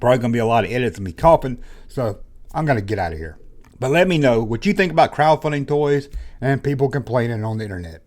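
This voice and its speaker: A man hosting a toy collecting channel, ranting bluntly in a casual, exasperated way, his voice hoarse from being sick. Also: mid-range voice, expressive, fast talker, a little mellow. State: hoarse, losing his voice after illness. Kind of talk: casual opinion rant to camera.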